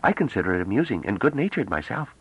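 A man reading a novel aloud, voicing a gentle, courteous older male scholar. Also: fairly low pitch, sing-song, fast talker, vocal fry, husky, no echo, pitched above the performer's own voice, heavily acted. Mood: mild. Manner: mild, courteous. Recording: dull lo-fi dry audio.

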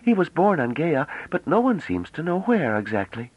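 A man reading a novel aloud, voicing a chatty, naive young woman who talks rapidly and almost breathlessly. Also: a little high, sing-song, slightly rough, no room sound, pitched above the performer's own voice. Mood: eager. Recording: dull lo-fi dry audio.

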